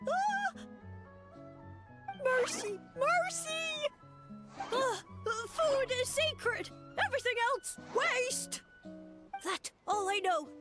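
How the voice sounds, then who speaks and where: A woman's voice: very high voice, lively intonation, extremely slow, long pauses, full character voice. A woman voicing a small, timid mushroom monster in a video game, exclaiming in broken, simple phrases. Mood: pleading, panicked. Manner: broken caveman-like speech, exclamatory. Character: small timid mushroom monster, dim, proud, easily panicked. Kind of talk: cartoonish video game creature acting. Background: game music.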